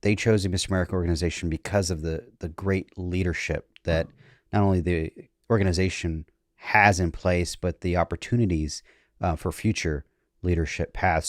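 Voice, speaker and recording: A man's voice: low voice, fairly quick, dry recording, clean studio sound. A man co-hosting a podcast, asking questions in a conversational interview style. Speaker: podcast co-host.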